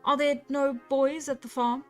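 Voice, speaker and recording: A woman voicing an earnest, fussy, indignant gentleman traveller in a storybook, formal and exclamatory. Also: high-pitched, flat delivery, normal speed, soft background music, voice pushed up, natural voice.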